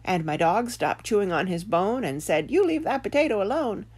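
A woman voicing an excitable, easily spooked male farmer in a children's tale, sounding exasperated. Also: mid-range voice, normal speed, husky, voice pushed up. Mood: agitated.

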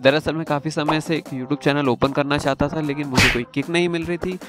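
A man's voice: fairly high pitch, steady tone, a little fast. A man narrating a review as a YouTuber, conversational, mixing in English phrases. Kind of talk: review narration with plot recap. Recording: music under the voice. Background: background music.

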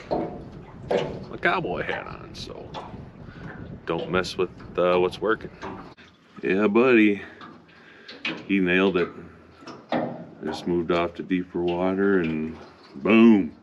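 A man in his fifties casually narrating his fishing trip from a small boat on a lake, relaxed and matter-of-fact. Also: low voice, slow pace, pauses often, muffled recording, background noise. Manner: relaxed, matter-of-fact, upbeat when a fish bites. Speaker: fishing vlogger. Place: small aluminum boat on an open lake, outdoors. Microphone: action camera mounted in the boat.

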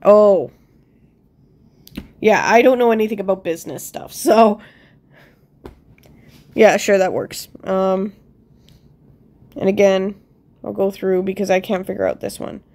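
A woman's voice: mid-range voice, unhurried, long pauses, a little mellow. A woman with a stuffed-up nose casually thinks aloud over a puzzle game, a little self-deprecating. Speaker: player commenting on own gameplay.

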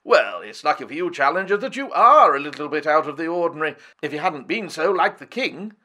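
A man voicing a brisk, hearty aristocratic big-game hunter who talks in bluff, clipped slang. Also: a little high, sing-song, sharp tone, voice pushed up, full character voice. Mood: teasing.

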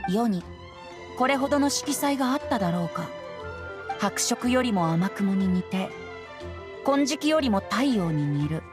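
A woman voicing a playful, gentle boyish bard in a Japanese anime game. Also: medium pitch, lively intonation, measured pace, pauses often, music in the background, lightly acted. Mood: poetic.

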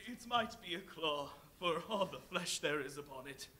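A man's voice: a little high, expressive, moderate pace, husky, heavily acted. A man playing a solemn, dying Christmas spirit in a live stage play. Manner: solemn, then anguished shouting. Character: gaunt dying male Christmas Present spirit. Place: large hall with audience.

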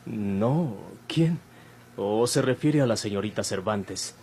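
Low-voiced earnest young patient. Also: male voice, lively intonation, darkish tone. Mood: polite, candid. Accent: Mexican. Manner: measured, guarded, polite. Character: earnest young male patient, a bandit's son. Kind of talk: classic Mexican radio soap acting. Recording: old noisy radio drama recording, hum.

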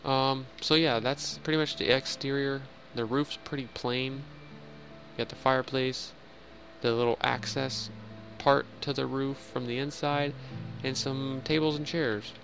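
A man's voice: mid-range voice, steady tone, a little slow, vocal fry, a bit bright, soft background music. A young man casually narrating a game house tour in voice-over, rambling with fillers and joking at himself. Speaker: Minecraft builder giving a house tour.

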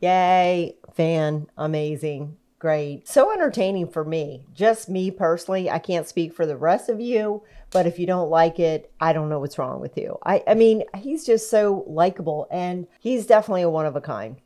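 A woman's voice: lowish voice, expressive, moderate pace, few pauses, mellow. A middle-aged woman chatting casually and eagerly about a music video she is reacting to, speaking into a podcast mic at home. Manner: enthusiastic, chatty, trails off and restarts. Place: home room with string lights. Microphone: boom-arm podcast mic.

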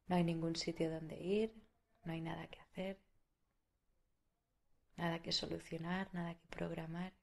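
Low-pitched Spanish woman guiding meditation, even-toned. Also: creaky. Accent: Spain. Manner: soothing, unhurried, with long pauses. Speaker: meditation guide. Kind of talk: guided meditation.

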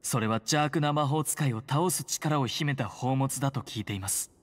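An actor playing a polite, earnest prison guard who secretly helps heroes, speaking formally and solemnly in a game. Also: male voice, mid-range voice, normal speed, close to an everyday voice. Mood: grave, urgent. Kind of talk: Japanese game voice acting. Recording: long reverberant hall.

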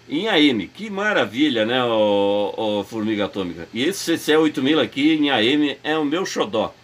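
Higher-voiced man over CB radio. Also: fairly bright tone. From Brazil. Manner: repetitive, appreciative, calling out callsign and places. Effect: heard over AM CB radio. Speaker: amateur radio operator on the other end of contact. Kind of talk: casual radio contact chat. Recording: narrow, static-laden CB radio reception.

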